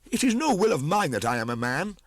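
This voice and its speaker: A proud jungle youth played by a grown male reader, pitched well above the narrator's bass. He is impassioned and indignant, swinging emphatically through his lines. The storybook performance is close and dry. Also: very animated, brisk, no room sound. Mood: anguished protest. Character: proud, impassioned seventeen-year-old jungle boy raised by wolves. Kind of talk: audiobook character acting.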